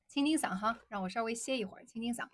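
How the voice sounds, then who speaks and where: A young woman from China, hosting a casual livestream, chatting with viewers, repeating their questions aloud and switching between English and Mandarin. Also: a little high. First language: Chinese. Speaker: livestream host. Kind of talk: casual livestream chat reading viewer comments.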